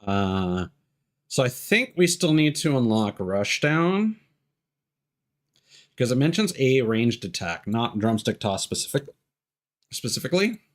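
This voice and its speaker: A man giving casual live gameplay commentary as a streamer, low-key and thinking aloud as he plays. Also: big pitch swings, unhurried, long pauses. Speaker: video game streamer. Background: game music.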